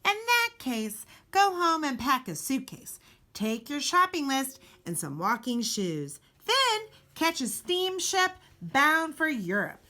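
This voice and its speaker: A woman reading a children's storybook aloud expressively, with deliberate, dramatic emphasis and pauses. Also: sits high, huge pitch swings, sharp tone. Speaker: teacher reading a picture book aloud.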